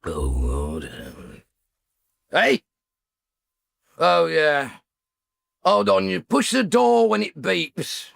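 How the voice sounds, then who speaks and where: An actor playing a tipsy, self-satisfied bohemian painter in a radio drama, rambling and muttering to himself in a creaky voice. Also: male voice, high voice, very animated, drawn-out words, long pauses, a bit bright, no room sound, studio quality, full character voice. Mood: distracted. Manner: rambling, mumbling to himself, drawling.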